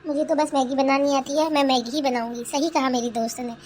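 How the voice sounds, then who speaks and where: Woman voicing a high-pitched sassy young bride. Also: steady tone, fairly quick, a little hiss or hum, close to an everyday voice. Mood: smug, plotting. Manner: indignant, complaining, talks back. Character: sassy, defiant young newlywed daughter-in-law in a village. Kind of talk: Hindi cartoon family comedy dubbing.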